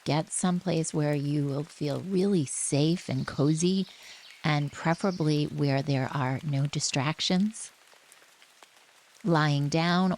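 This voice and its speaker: A woman guiding a relaxation meditation in a gentle, soothing manner, speaking in short phrases with long pauses. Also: low-pitched. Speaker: yoga nidra guide. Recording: steady rain noise behind the voice. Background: rain ambience.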